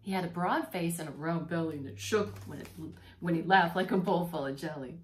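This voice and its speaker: A woman preschool teacher reading a storybook aloud to young children, expressively, pausing to explain and repeat words simply. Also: on the low side, slight background noise.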